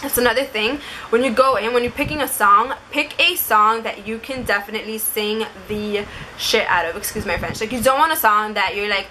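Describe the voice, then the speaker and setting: A woman's voice: fairly high pitch, a little fast, bright, forceful tone, a little hiss or hum, low-quality recording. A young woman telling a story and giving advice in a casual, chatty vlog style, saying "like" constantly. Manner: chatty, informal, uses "like" constantly. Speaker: vlogger.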